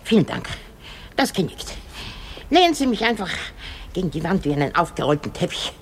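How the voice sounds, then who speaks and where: A woman's voice: lowish voice, very animated, a little fast, slight background noise. An actress playing an elderly, cantankerous, self-pitying woman in a radio drama, exclaiming and groaning with effort. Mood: weary, sardonic.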